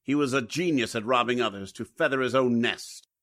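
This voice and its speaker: A man's voice: mid-range voice, a little fast, fairly bright tone, close to an everyday voice. A man playing a courteous but aggrieved businessman with a formal, polite manner, in 1940s radio drama style. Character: courteous, aggrieved businessman, a wholesale leather dealer.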